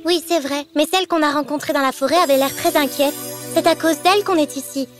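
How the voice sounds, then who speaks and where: An earnest young cartoon girl voiced by a woman with a very high, youthful voice. She speaks with emphatic, excitable expression over children's music. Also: brisk, close to an everyday voice. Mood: nostalgic. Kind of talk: animated children's cartoon dub.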